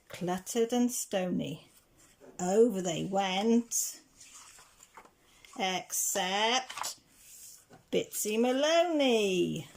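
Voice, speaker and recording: A woman reading a children's rhyming picture book aloud with measured, deliberate phrasing. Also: a little high, a little mellow, slight background noise. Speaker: reader of children's picture books. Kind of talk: storybook read-aloud.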